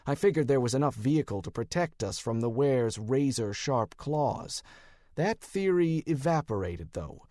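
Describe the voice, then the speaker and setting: A man's voice: medium pitch, lively intonation, normal speed, warm tone, dry recording, clean studio sound. A man with an American accent reading wry first-person detective fiction as an audiobook narrator. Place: dry studio booth.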